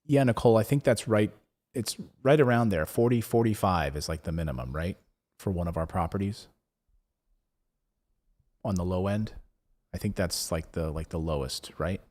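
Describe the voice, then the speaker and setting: A man hosting a live investing show, chatting casually, rambling with lots of fillers and restarts, his voice going hoarse. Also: low voice, expressive, normal speed, long pauses, darkish tone. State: losing his voice. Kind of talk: casual live-stream chat, reading viewer comments.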